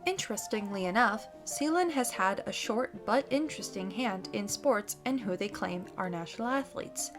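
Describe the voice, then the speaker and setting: A woman narrating a documentary from a script. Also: mid-range voice.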